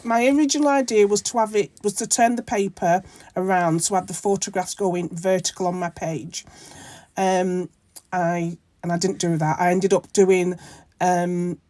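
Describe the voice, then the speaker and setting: A woman narrates her crafting project in a loose, rambling way, full of ums and restarts. Her voice is croaky from a cold and sits low for a woman. The sound is dry and close, with no room around it. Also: darkish tone, no echo. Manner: rambling, many ums and self-corrections. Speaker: craft channel host. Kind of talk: casual crafting tutorial narration.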